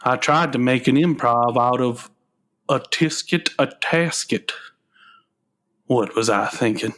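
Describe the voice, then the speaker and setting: Slow, melodramatic grown son played by a man. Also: mid-range voice, a bit bright. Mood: recounting, rueful. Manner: halting, melodramatic. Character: earnest, anguished grown son, frustrated musician. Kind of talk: comic radio play acting.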